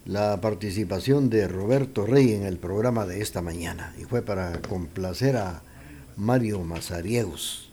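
A man with a Guatemalan accent hosting a radio show, talking between songs in a relaxed, conversational way with thinking pauses. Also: on the low side. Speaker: radio host.

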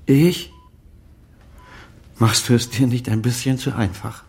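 A man's voice: medium pitch, fairly even intonation, takes it slowly, darkish tone. An actor playing a stern, moralizing older father, reproachful and probing, in a naturalistic radio drama. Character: stern, moralizing elderly father, former chief physician.

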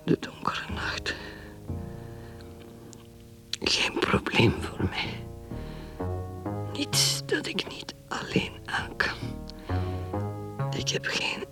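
An actress playing a lonely alcoholic woman's inner voice in a radio drama, anguished and breathy. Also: drawn-out words, long pauses. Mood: anguished denial. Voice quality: breathy whisper. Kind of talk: radio drama interior monologue. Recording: guitar music behind. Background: plucked guitar music.

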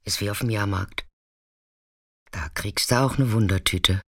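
A man acting in a radio play as a weathered, fearless narrator, speaking in an understated, intimate way with hushed moments. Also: on the low side, unhurried, dry recording, studio quality. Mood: matter-of-fact, confiding.

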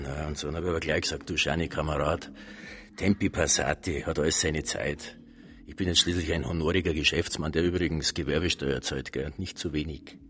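An actor playing a slippery, self-important nightclub manager in a radio drama, cocky and evasive, with a Bavarian accent. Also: male voice, a bass voice. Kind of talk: naturalistic radio drama acting.

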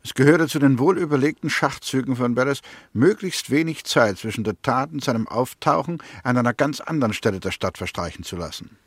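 A cool accusing prosecutor in an old 60s radio play, measured and pointed, medium pitch, very dry. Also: male voice, expressive, dry recording. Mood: pointed, assured. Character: coolly accusing male prosecutor reconstructing a suspect's movements. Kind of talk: 1960s radio drama acting.

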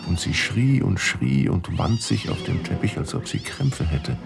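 An actor in a German radio drama playing an obsessed, agitated scientist who speaks haltingly and intensely. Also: male voice, low-pitched, wildly swooping pitch, vocal fry, music in the background, full character voice. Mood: horrified. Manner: halting, intense, self-justifying. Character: obsessed, agitated male scientist under an eerie music's spell.

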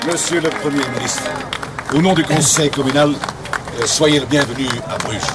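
An actor playing a stiff, nervous, deferential mayor in a radio drama, halting and ceremonious. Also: male voice, fairly high pitch, over loud music, lightly acted. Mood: nervous, formal. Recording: crowd and noise behind.

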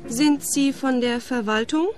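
A cheeky squatter. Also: female voice, high-pitched, measured pace, music in the background. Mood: teasing. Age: young adult.

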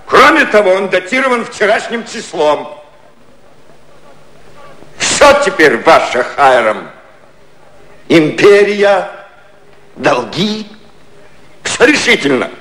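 Casting: a sly, courteous prime minister for radio satire; slow, theatrical, wide-swinging delivery. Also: male voice, high voice, big pitch swings, bright, forceful tone, full character voice, rough recording. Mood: calm, sly. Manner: leisurely, ironic, theatrical phrasing. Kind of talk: satirical 1950s Soviet radio play acting.

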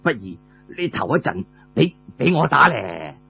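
A man's voice: mid-range voice, sing-song, a little slow, gravelly, slightly rough, lo-fi sound, soft background music, pitched below the performer's own voice, full character voice. A man voicing an eager, hot-headed young warrior who stammers and wheedles as a pleading younger brother. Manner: stammering, repeating words, wheedling.

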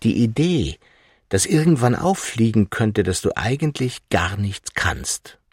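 Male audiobook reader, low and extremely raspy, for literary prose in a dry studio. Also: very animated, a little slow, darkish tone, no echo. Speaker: audiobook narrator. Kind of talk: literary second-person narration.

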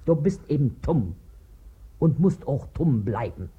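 A woman's voice: very deep voice, sing-song, measured pace, warm tone, slightly rough, lo-fi sound, exaggerated voice. An actress in a 1950s German radio play voicing a crafty, domineering middle-aged washerwoman with a Berlin accent, bossy and wheedling in colloquial speech. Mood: nagging, scheming. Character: crafty, domineering working-class washerwoman, wife and mother.

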